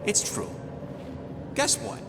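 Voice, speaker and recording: An actor playing a snarky, hot-tempered small raccoon mercenary in a video game, with gruff, sarcastic, slangy delivery. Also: male voice, takes it slowly, in a large hall, heavy background noise, close to an everyday voice. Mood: scornful, agitated.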